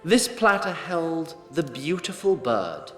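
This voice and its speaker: A male narrator tells a fantasy story at an unhurried pace, with wide swings in pitch. His delivery is measured and dramatic, sometimes wry, in an echoey, reverberant sound. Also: sing-song, a bit bright, faint music behind.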